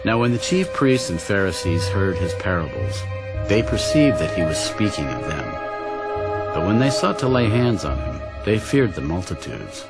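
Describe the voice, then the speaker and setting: Deep-voiced male narrator over music. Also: very animated, normal speed, poor audio. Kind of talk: scripted scripture narration.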